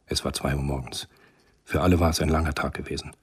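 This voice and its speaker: A man narrating a literary radio play in a measured, sombre manner. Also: extremely low pitch, fairly even intonation, slightly rough.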